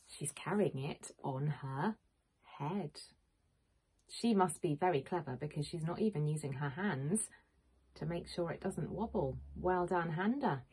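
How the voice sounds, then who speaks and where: A woman, a teacher reading a picture book to young children in an expressive, questioning manner. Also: deep voice, unhurried. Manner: expressive, questioning, clearly addressing small children. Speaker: primary school teacher. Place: small home room with bookshelves, indoors.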